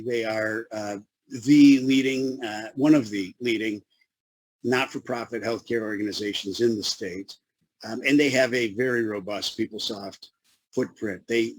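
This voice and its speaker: A man with a Chicago accent giving a webinar presentation as a consultant, with a radio-style voice and a halting manner full of fillers and restarts. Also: medium pitch, dry recording, low-quality recording.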